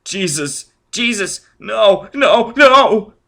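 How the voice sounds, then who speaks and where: A man's voice: sits high, big pitch swings, very slow, bright, forceful tone, pitched above the performer's own voice, full character voice. A man voicing a terrified, hysterical man who screams and sobs in panic. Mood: screaming terror. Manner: shrieking, panicked. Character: man in terror, screaming and sobbing. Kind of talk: audiobook character voice.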